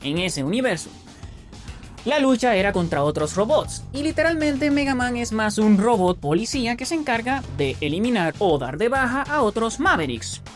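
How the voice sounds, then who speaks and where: A woman's voice: fairly high pitch, sing-song, normal speed. A woman hosting a geek show, giving explanatory commentary in an emphatic way with frequent asides. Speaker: host of a geek culture show. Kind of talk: explanatory commentary on video game lore. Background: background music.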